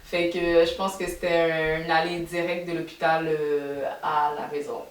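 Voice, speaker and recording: Young québécoise woman on a podcast, low voice, kinda far from the mic in an echoey room. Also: little pitch movement, normal speed. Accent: Québécois French. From Montreal, Quebec, Canada. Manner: reflective, many fillers and restarts. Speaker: podcast co-host. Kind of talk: casual podcast chat.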